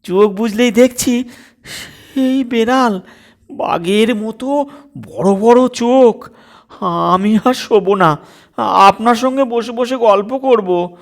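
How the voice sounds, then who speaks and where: Man putting on a coy, high-voiced young wife. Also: takes it slowly, long pauses, heavily acted. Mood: nervous coaxing. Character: coy, sly young daughter-in-law, playful and nervous.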